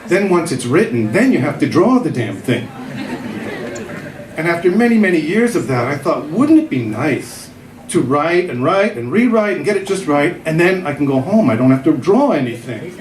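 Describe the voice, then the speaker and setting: A man's voice: on the high side, sing-song, moderate pace. A middle-aged man, a writer and former cartoonist, reading his humorous column aloud at a literary event in a wry, self-deprecating way. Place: literary festival venue. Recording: echoey room.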